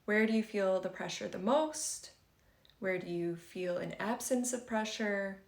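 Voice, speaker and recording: A woman's voice: medium pitch, a little slow, gravelly. Echoey woman guiding a meditation. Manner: gentle, conversational, reassuring phrasing. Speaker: meditation guide. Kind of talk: guided meditation instruction for beginners. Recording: roomy, echoing sound.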